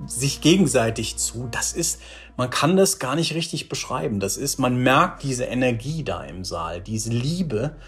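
A man's voice: mid-range voice, slightly rough. A man in his forties talking casually and enthusiastically in an interview over a video call, often restarting his phrases. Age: 40s. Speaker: audio drama writer, interviewee. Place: small bright room with bookshelves, indoors.

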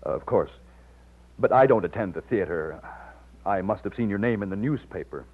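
An actor playing a loyal, modest young Texas plantation overseer, polite and earnest, in an old-time radio drama. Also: male voice, lowish voice, lively intonation, moderate pace, husky. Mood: awkward, polite. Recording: muffled vintage radio, music behind.

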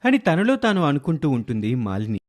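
A man's voice: a little high, wildly swooping pitch, a little fast, darkish tone. A man narrating a scripted story as a storyteller.

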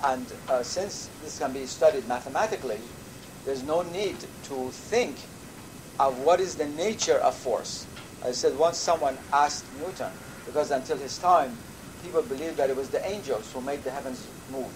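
An older man, a native Persian speaker from Iran, giving a measured university lecture with thoughtful pauses. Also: medium pitch. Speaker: professor. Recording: lecture recording with audible background noise.

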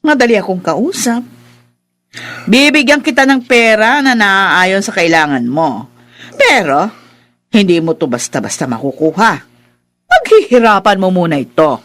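An actress playing a cruel, haughty middle-aged stepmother in a radio drama, sneering and taunting with drawn-out questions. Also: mid-range voice, very animated, a bit bright. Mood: taunting, domineering.

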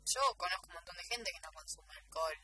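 Young Argentine woman, bright lowish voice. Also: soft background music. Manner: halting, repeats words to find phrasing. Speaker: radio guest, actress. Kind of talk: casual conversational answers. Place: small radio studio. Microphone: studio desk microphone.

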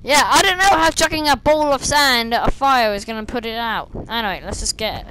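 Hoarse young woman chatting over gameplay. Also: a little high, brisk, pushed and bright, a little hiss or hum, rough recording. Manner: chatty, rambling, talks to game enemies. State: slightly hoarse, losing her voice. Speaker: gameplay streamer. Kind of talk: casual gameplay commentary. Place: small room indoors, seated at a desk.

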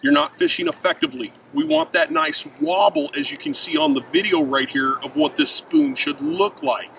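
A man's voice: extremely high pitch, sing-song, normal speed, gravelly, fairly bright tone. A middle-aged man giving an emphatic outdoor fishing lesson while standing by a river, addressing his viewers directly. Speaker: fishing instructor. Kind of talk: outdoor how-to demonstration. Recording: distant mic with faint river noise.

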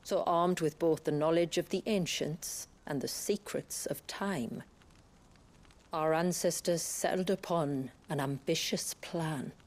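An actress playing a grave village woman who recounts her people's tragic past in a measured, formal, earnest way for a video game. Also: deep voice, a little mellow. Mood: grave, reverent. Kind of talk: solemn scripted storytelling in video game drama.

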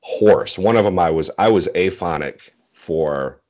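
A man in his forties with a very deep bass voice, speaking modestly and a little haltingly in a casual interview over a video call. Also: a little mellow. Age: 40s. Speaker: interview guest, bass singer. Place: small indoor room at home. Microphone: earbud headset on video call. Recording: dull, muffled video-call audio.

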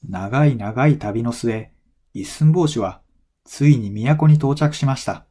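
A man reading a folktale as a scripted narration for language learners, in measured, clearly phrased storytelling. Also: mid-range voice, warm tone.

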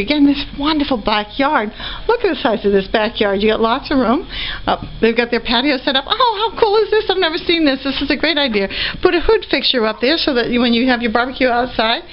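A woman with a fairly high voice walks through a house, chatting upbeat and easy with plenty of ums. The sound is dull and lo-fi, caught by the camera's own mic, with faint noise underneath. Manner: chatty, upbeat, lots of fillers. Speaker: real estate auction previewer. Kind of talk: walking property tour commentary. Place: indoors and outdoors around a house. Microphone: handheld camera mic while walking.

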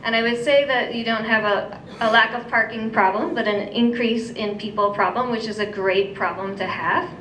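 A woman's voice: steady tone, normal speed, a bit bright. An American woman in her thirties delivering a prepared public comment as a citizen. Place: indoor council meeting room. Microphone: gooseneck podium microphone. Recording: echoey room, distant mic, faint rumble.

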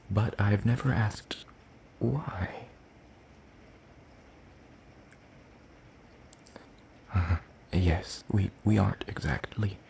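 Gentle, curious demon played by a man for ASMR roleplay: low, mellow, soft-spoken, hesitant and courtly in phrasing. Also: creaky, slight background noise. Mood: musing, polite. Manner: hesitant, formal, slow and deliberate. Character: gentle, curious, one-eyed soul-leeching demon creature.

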